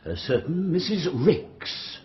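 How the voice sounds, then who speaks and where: Long-winded formal prosecution lawyer, radio drama, very animated pitch, roomy and a bit dull. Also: male voice. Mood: grave, accusing. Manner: oratorical, measured, pedantic exposition. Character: formal, long-winded male prosecuting barrister addressing jury. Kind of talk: radio courtroom drama acting.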